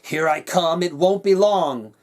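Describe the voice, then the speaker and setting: A man's voice: fairly high pitch, measured pace, close to an everyday voice. A man voicing a cold, scheming, power-hungry king in a rhyming storybook, imperious and menacing. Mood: gloating, menacing. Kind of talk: storybook character reading in rhyme.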